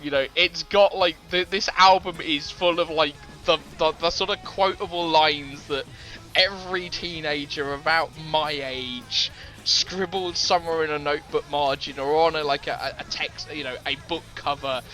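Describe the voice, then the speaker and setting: Man, podcast co-host, rambling chat, sharp bright tone, slightly high voice, faint music behind. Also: fairly even intonation, normal speed, poor audio. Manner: rambling, many fillers and restarts, laughs easily. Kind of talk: casual chat about music.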